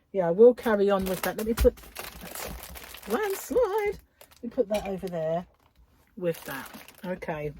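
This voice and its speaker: A woman chatting casually to followers as a craft vlogger during an unboxing, gushing and admiring with breathy asides. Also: on the low side. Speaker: craft vlogger and yarn shop owner. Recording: clear background noise with crinkling wrappers. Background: crinkling cellophane, clicks and rustling.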